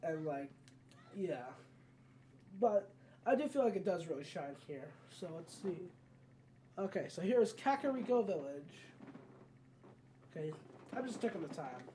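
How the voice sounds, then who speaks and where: Let's play host, casual conversational commentary, rambling, mid-range pitch, dark mellow tone, slight background noise from a home recording. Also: animated, unhurried, long pauses.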